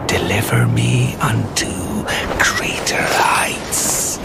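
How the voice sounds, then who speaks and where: Gravelly, pompous, power-mad tyrant. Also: male voice, lowish voice, very slow, whispered, heavily acted. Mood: fervent, craving. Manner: pompous, archaic, theatrical. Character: grandiose, power-hungry old tyrant lord, sneering and deranged. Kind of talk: dramatic fantasy game acting. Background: loud music.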